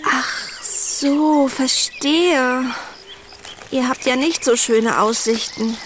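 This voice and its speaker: A woman's voice: high voice, drawn-out words, fairly bright tone, a little hiss or hum, heavily acted. A woman playing a cheerful horse-loving teenage girl in a children's audio drama. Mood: cheerful, eager.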